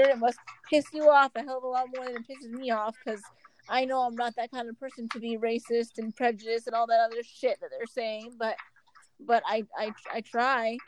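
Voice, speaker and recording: Candid, halting woman. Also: a little high, a little hiss or hum, low-quality recording. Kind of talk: casual group discussion.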